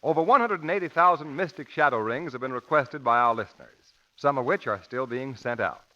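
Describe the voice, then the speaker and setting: Animated male radio announcer, muffled recording. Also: mid-range voice, brisk. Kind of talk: 1940s radio advertising pitch, dramatic.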